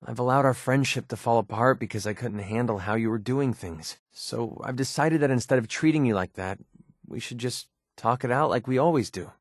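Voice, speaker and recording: An actor playing a guarded, wry and short-tempered young mercenary with a tender side in a video game, speaking informally. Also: male voice, mid-range voice, no echo, studio quality, natural voice. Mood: hesitant, sorrowful, tender. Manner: informal, halting when emotional. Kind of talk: scripted video game character dialogue.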